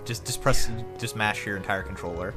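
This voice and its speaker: A man's voice: lowish voice, brisk. A young man casually chatting as co-commentator on a video game let's play. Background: game music.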